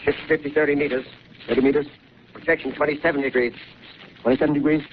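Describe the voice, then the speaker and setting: A man's voice: fairly high pitch, steady tone, quick pace, lightly acted. A man acting an army artillery gunner calling out ranges and fire orders in barked, repeated commands, on a dull, hissy 1930s radio recording. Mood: brisk. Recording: dull 1930s radio broadcast, loud hiss.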